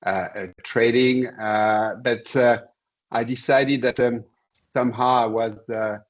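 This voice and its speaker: A man in his sixties from Senegal, a commodity trader and interview guest, telling his career story in a measured, halting way over a video call. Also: medium pitch, steady tone. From born in Senegal. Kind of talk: conversational career account.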